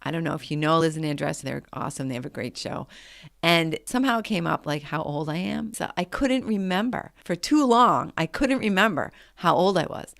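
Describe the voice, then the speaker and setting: Gravelly-voiced woman in her late fifties. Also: low-pitched, animated, brisk, no echo, clean studio sound. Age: 50s. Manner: chatty and conversational, with frequent "like" and "I mean". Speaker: podcast host. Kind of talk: motivational podcast monologue.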